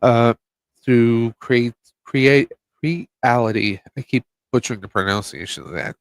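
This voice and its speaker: A man with a blocked nose, guesting on a podcast from his home. He talks in a halting, casual way, stopping and restarting often. His voice sits at an average pitch, with a dry, close sound. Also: no echo. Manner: halting, many fillers and restarts. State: stuffed up. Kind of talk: casual chat.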